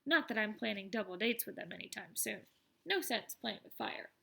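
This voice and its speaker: A woman reading aloud as an excitable, boastful, self-deprecating teenage schoolboy who tells his story in an animated, wry, conversational way. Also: mid-range voice, normal speed, vocal fry, breathy edge, heavily acted. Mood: proud, chatty. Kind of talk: first-person audiobook narration.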